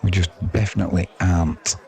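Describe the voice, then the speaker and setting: A man's voice: low voice, fairly even intonation, brisk, mellow. A man giving casual live cricket commentary in a wry, teasing, chatty manner. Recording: crowd noise behind the voice.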